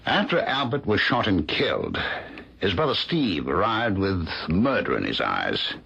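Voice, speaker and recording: A man's voice: sits low, wildly swooping pitch, a little slow, gravelly, bright, forceful tone, slightly rough, full character voice. An actor playing a genial, inquisitive older male professor in a radio drama, avuncular and given to exclamations. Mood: chatty, informative. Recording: muffled old radio drama recording.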